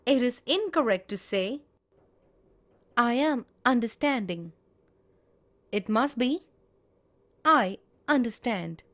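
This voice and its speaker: A woman teaching grammar, reading example sentences in a measured, deliberate way. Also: on the high side, lively intonation. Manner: measured, spells out each example. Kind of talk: slow, deliberate grammar lesson.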